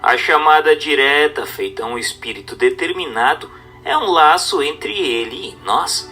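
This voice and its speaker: A man reads a book as scripted narration, in a formal, didactic manner with measured emphasis. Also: a little high, animated, sharp tone, husky, soft background music. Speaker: audiobook narrator.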